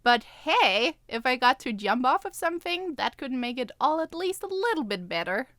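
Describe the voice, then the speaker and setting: A woman's voice: sits high, big pitch swings, moderate pace, fairly bright tone, no echo, studio quality. A woman co-hosting a podcast, with a playful manner, in casual chat and story reading. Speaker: podcast co-host and co-writer.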